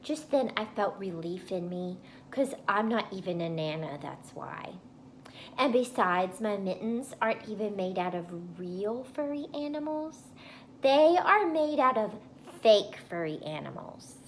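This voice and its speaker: A woman voicing an excitable, boastful little schoolgirl in a children's storybook, with childish grammar and gushing emphasis. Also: medium pitch, a little slow, a little hiss or hum. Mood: relieved, emphatic. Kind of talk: first-person children's storybook read-aloud.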